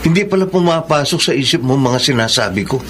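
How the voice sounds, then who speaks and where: A man's voice: medium pitch, expressive, fast, natural voice. A man playing an earnest young Filipino man in a radio romance drama. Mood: hurt, pleading. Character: earnest young man, suitor or concerned friend. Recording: noisy ambience behind voice.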